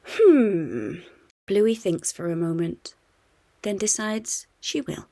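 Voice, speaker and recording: A woman reading a children's storybook aloud in an expressive scripted narration for young listeners. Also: mid-range voice, takes it slowly.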